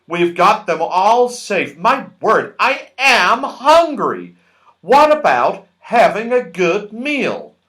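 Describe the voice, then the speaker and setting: A man's voice: high voice, very animated, takes it slowly, pushed and bright, voice pushed up, full character voice. A man voicing a cheerful, bossy storybook character who exclaims and calls out orders. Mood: pleased, bossy. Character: cheerful, bossy storybook fellow, excitable and loud.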